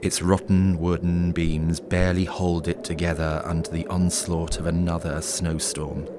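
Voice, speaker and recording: A man narrating a scripted horror story in a measured, atmospheric way. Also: low voice, flat delivery, background noise, close to an everyday voice.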